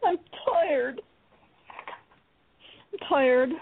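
A woman's voice: high voice, drawn-out words, creaky, slight background noise, heavily acted. A woman acting a despairing young woman who is crying, in a live radio play. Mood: exhausted. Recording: tinny phone-line radio broadcast.

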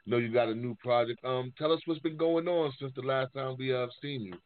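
A man hosting a casual interview, asking questions haltingly and rephrasing them midway. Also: medium pitch, slight background noise. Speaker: interviewer, show host. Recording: narrow-band, phone-like sound.

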